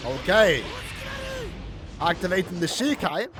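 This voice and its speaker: High-pitched man doing casual game commentary. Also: lively intonation, unhurried, background noise. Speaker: gaming video host.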